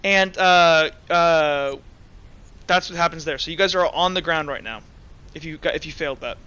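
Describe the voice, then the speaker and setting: A man's voice: a little high, moderate pace, pushed and bright, clear, resonant tone, muffled recording. A man improvising narration and rulings as the game master of a tabletop roleplaying game.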